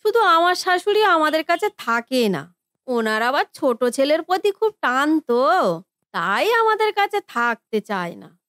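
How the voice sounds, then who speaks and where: Woman as a high, animated, touchy eldest daughter. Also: unhurried, no echo, clean studio sound, heavily acted. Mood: defensive. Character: touchy, defensive married eldest daughter, easily offended. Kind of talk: Bengali family cartoon voice acting.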